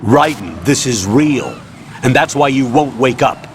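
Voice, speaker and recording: An actor plays a stern commanding officer in a game cutscene, issuing clipped orders without patience. His pitch sits in the middle range, with faint background noise. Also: expressive, natural voice. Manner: brisk, curt, authoritative. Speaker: mission commander.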